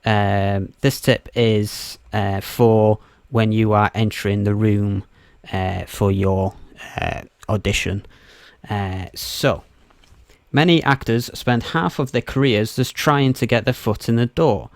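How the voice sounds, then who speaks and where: Man, podcast co-host, lowish voice, creaky, halting, casual. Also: fairly even intonation, no room sound, clean studio sound. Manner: halting, stop-start explaining.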